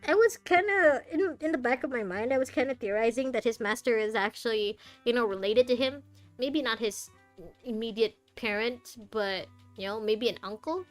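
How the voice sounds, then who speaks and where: A woman giving live playthrough commentary, chatting casually to viewers and reacting aloud in a jokey, expressive way. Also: on the high side, moderate pace. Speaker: game streamer. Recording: music behind the voice. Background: game music.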